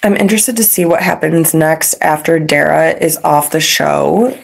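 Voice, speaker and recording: A gossiping woman host with a lowish, gravelly voice and a stuffed-up, congested sound. She talks casually and gushes as she races through her opinions. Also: steady tone. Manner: chatty, gushing, fast asides. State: congested with a cough. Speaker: podcast host.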